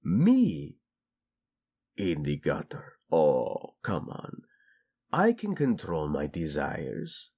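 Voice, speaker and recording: A man's voice: rumbling low, huge pitch swings, very slow, gravelly, mellow, full character voice. A man playing a flirtatious, doting prince and husband in a romantic audio roleplay, speaking intimately and expressively. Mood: teasing, flirtatious.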